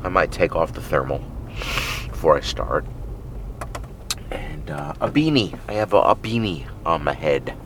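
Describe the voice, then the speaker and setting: A hoarse man with a nasal, stuffy voice rambles casually from inside a car, full of ums and uhs. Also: extremely low pitch, sing-song, unhurried, long pauses. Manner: rambling, chatty, with many fillers. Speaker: running podcast host. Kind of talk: casual monologue. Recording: loud car road noise.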